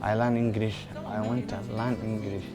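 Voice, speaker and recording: A man from Somalia speaking slowly and haltingly in an interview. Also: lowish voice, level tone, over loud music. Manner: slow, halting, simple phrasing.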